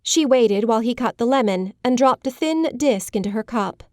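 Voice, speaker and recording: A woman narrating a literary audiobook in a measured, polished storytelling manner. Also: fairly high pitch, expressive, darkish tone, dry recording, clean studio sound.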